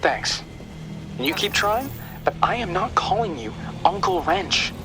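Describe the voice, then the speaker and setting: A man's voice: high voice, very animated, measured pace, low-quality recording. A man playing a cautious, exasperated young sidekick in a video game, sceptical and sarcastic. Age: young adult. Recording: loud music behind the voice.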